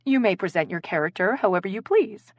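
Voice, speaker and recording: A woman's voice: mid-range voice, lively intonation, brisk, no room sound, muffled recording, natural voice. A woman narrator voicing a theatrical, commanding woman who leads an improv game in a story. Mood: eager, instructing. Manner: theatrical, directing.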